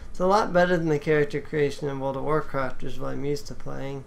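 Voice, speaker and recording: A woman's voice: deep voice, quick pace, creaky, slight background noise. A woman hosting a gaming series, chatting casually as she comments on gameplay. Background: game music.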